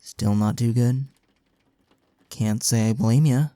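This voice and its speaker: A man's voice: mid-range voice, takes it slowly, dark tone, clear, resonant tone, no room sound, close to an everyday voice. Man acting as young college best friend, close dry sound. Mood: soft, concerned. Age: young adult. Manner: casual, earnest, playful. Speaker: audio roleplay voice actor. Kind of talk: intimate audio roleplay acting, one-sided conversation.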